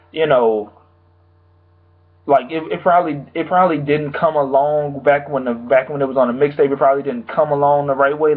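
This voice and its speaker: Young man with a hoarse, tinny voice. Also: mid-range voice. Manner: rambling, loose, full of "like" and "you know". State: slightly hoarse, recovering from a sore throat. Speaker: music reviewer. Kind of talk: casual album review vlog. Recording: tinny phone-like sound.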